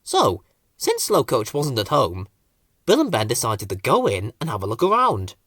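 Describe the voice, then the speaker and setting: A man narrating a children's story as an expressive audiobook storyteller. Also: medium pitch, moderate pace, dry recording, studio quality.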